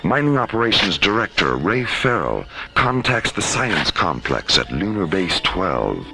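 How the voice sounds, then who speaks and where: Solemn male narrator for space radio drama: deep voice, dramatic swings, ominous gravity. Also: sing-song, creaky, music in the background, full character voice, low-quality recording. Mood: grave, suspenseful. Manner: grave, portentous, drawn-out phrasing.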